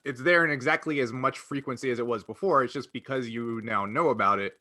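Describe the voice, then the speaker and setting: A man's voice: mid-range voice, fast talker, sharp tone. A man co-hosting a casual podcast, explaining an idea while searching for his words. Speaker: podcast co-host.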